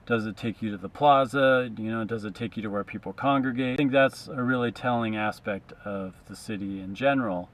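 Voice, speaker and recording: A man's voice: lowish voice, a little fast, hardly any pauses. A man in his thirties, a traveling street musician, thinking aloud in a loose, reflective vlog monologue from inside his car to a dashboard camera. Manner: reflective, musing, loose with fillers.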